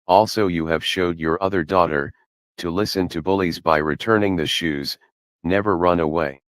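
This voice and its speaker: A man giving measured, critical reaction commentary as a commentator over a clip. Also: deep voice, dry recording.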